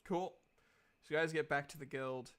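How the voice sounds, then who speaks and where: A man narrating a tabletop game as game master, improvising in halting phrases with pauses mid-sentence. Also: a little high, steady tone, fairly bright tone. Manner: halting, pausing mid-sentence to compose descriptions.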